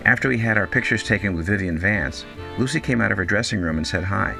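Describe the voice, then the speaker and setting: A man's voice: fairly low pitch, quick pace, gravelly, bright, forceful tone, faint music behind. A man giving a scripted, storytelling introduction as the producer of a show.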